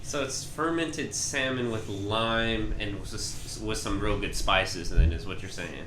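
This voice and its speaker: A man hosting a casual podcast, asking eager questions and tripping over quick restarts. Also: fairly low pitch, background noise. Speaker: podcast host. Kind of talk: casual interviewing chat.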